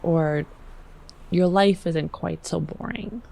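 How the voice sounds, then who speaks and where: A woman's voice: fairly low pitch, steady tone, slow pace, vocal fry, warm tone. A woman playing a tabletop roleplaying game, improvising casually and thinking aloud as she goes.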